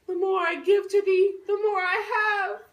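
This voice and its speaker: A woman playing a lovestruck, earnest young noble girl in a puppet show, speaking Shakespearean verse in a theatrical, drawn-out way. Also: extremely high pitch, level tone, lo-fi sound. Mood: devoted. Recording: roomy echo, distant microphone.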